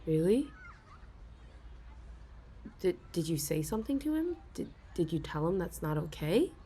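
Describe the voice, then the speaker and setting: A woman's voice: lowish voice, very animated, a little slow, dark tone, slightly rough. A woman doing an ASMR role-play as a shy, fond office worker, talking in a hesitant, giggly way that often stalls on her words.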